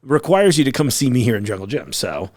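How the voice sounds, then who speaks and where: A man's voice: mid-range voice, big pitch swings, a little fast. A man hosting a food podcast in casual, upbeat chat, laughing often. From United States. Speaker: podcast host.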